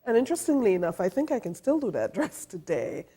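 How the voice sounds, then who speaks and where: A middle-aged woman from Trinidad and Tobago, a fashion designer, answering interview questions in a soft, thoughtful way with many pauses and fillers. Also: mid-range voice, sing-song, slightly rough. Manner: soft-spoken, thoughtful, many ums and uhs. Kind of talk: interview guest.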